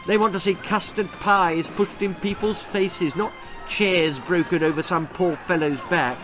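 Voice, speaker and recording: A small, weary bear puppet from a children's storybook, voiced by a man. The voice is raised high and complains in an indignant, plaintive way, with music behind it. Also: steady tone, measured pace. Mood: weary indignation.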